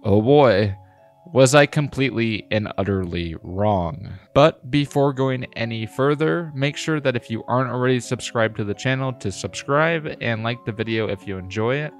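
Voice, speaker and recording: A man's voice: mid-range voice, normal speed. A man narrating a scripted video review in a critical, exasperated, emphatic manner. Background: music.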